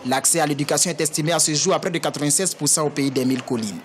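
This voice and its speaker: A man reporting as a news correspondent, reading a scripted piece in a brisk, formal way. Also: fairly high pitch, slight background noise.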